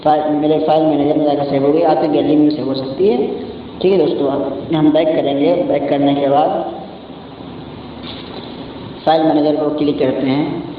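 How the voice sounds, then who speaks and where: Male tutorial host, casual step-by-step delivery, slightly high voice, muffled recording with clear background noise. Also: fairly even intonation, moderate pace, pauses often. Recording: echoey, muffled, distant mic, noisy background.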